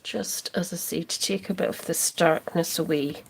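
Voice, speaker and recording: A woman's voice: fairly low pitch, fairly even intonation, gravelly, a little hiss or hum, low-quality recording. A Scottish woman hosting a casual crafting livestream, chatting with viewers and answering their comments as she works. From Scotland. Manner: slow, soft, drifting into near-whispers as she concentrates.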